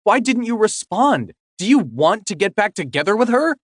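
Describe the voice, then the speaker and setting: A man's voice: fairly high pitch, animated, normal speed, no echo, clean studio sound, voice pushed up, close to an everyday voice. Give me a man doing a young woman, teasing, then pressing hard with questions. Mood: upset, questioning. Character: loving young girlfriend, affectionate then upset.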